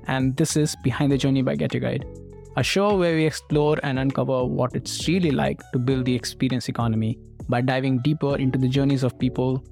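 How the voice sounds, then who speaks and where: A man's voice: mid-range voice, creaky, darkish tone. A man hosting a podcast, reading a polished scripted intro and asking interview questions. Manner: polished, promotional delivery. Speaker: podcast host.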